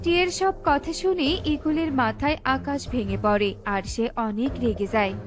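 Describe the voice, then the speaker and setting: A woman's voice: high-pitched, unhurried. A woman giving scripted narration for a children's cartoon story, with music behind her.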